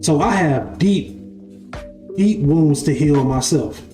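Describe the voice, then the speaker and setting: A man's voice: on the high side, lively intonation, slow pace, warm tone. A man in his twenties giving a casual spiritual talk as a live-stream host, repeating his phrases and often asking "right?". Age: 20s. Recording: echoey room with faint music.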